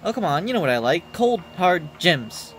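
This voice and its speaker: A greedy, scheming goddess from a game as a man reads the part, flippant and pushy. The voice sits fairly high for a man, over loud music. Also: sing-song, normal speed. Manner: breezy, pushy, self-satisfied.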